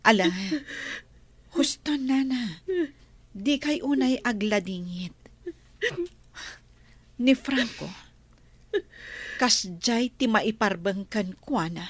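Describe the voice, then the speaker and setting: A patient lady of the house in a drama serial. She consoles in a fairly high, breathy-husky voice, slowly and with sympathy. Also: lively intonation, no echo, exaggerated voice. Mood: soothing. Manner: soothing, sympathetic, measured.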